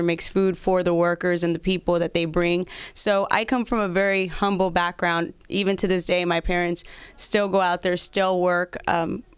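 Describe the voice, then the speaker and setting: A woman's voice: fairly low pitch, smooth, no room sound. A woman in her thirties from south Texas, answering interview questions as a lawyer and candidate. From south Texas, United States. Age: 30s.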